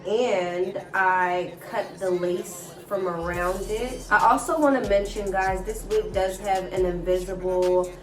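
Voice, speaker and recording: A woman's voice: mid-range voice, fairly even intonation, slow, hardly any pauses. Stuffy-nosed woman chatting through a tutorial. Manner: chatty, keeps addressing viewers as guys. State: stuffy nose from a cold. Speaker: hair vlogger. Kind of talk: casual wig install tutorial. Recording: roomy sound from a distant mic with music. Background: background music.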